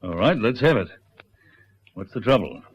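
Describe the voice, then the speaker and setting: A man playing a brisk, unflappable Australian country police sergeant in a radio drama, businesslike and reassuring. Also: on the low side, sing-song, breathy edge. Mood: brisk, polite. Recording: muffled old radio recording.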